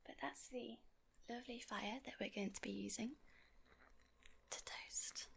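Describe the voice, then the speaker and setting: A woman whispering in a casual ASMR chat, gently rambling and unhurried, as host of her own video. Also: on the high side, fairly even intonation, gravelly. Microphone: portable handheld audio recorder. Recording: close whispered recording on portable recorder.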